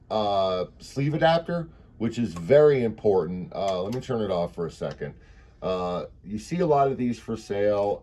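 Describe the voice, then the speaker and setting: A man giving a casual, unscripted product demonstration, talking informally and haltingly as he thinks aloud. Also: on the low side, a little mellow. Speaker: presenter demonstrating vintage audio equipment.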